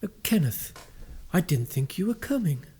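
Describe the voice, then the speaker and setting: A man's voice: high-pitched, very animated, moderate pace, a little mellow, breathy edge, exaggerated voice. A man playing a fussy, easily flustered village vicar in a radio drama. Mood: officious.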